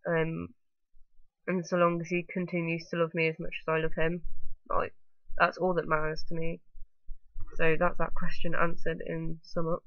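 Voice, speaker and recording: A woman with a blocked-up nose from a cold chats to her camera in a loose, rambling way, filling pauses with ums and likes. Her delivery stays fairly flat and even. The sound is dry and a little dull, like a cheap webcam mic. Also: deep voice, no echo. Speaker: vlogger. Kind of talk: casual talking-to-camera vlog.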